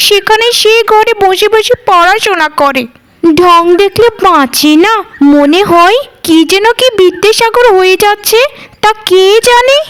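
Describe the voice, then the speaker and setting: A woman's voice: extremely high pitch, lively intonation, measured pace, a bit bright, exaggerated voice. A woman voicing a catty, mocking gossip of a village wife in a cartoon. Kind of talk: cartoon dialogue.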